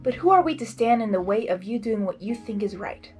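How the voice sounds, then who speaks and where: A woman voicing an earnest, sensible, protective young female pony in a storybook, measured and formal. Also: mid-range voice, lively intonation, a little mellow, faint music behind, lightly acted. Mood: serious.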